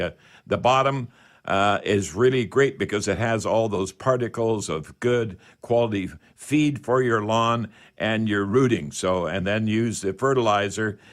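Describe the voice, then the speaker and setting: An older man hosts a radio call-in show, chatting in a folksy, rambling way with old-fashioned expressions. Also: fairly low pitch. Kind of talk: casual home-improvement call-in radio talk.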